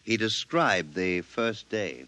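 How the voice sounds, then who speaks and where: An actor playing a measured, clinical doctor with an authoritative manner in a vintage radio drama. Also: male voice, on the low side, lively intonation, bright, forceful tone, exaggerated voice. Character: calm, clinical doctor dictating a case.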